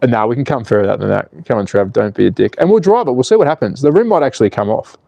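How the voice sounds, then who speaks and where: A man's voice: mid-range voice, expressive, fast talker, gravelly, warm tone, no room sound, dull audio. A man in his forties with an Australian accent, hosting a casual slot car livestream, cheeky and full of swearing while he reads out chat and works on a car. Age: 40s. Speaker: slot car channel host.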